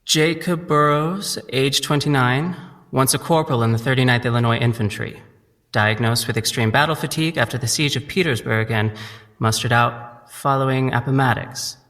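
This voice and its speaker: A man's voice: medium pitch, fairly bright tone, long reverb tail, close to an everyday voice. A man playing an earnest young resident doctor. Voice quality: measured, polite, formal.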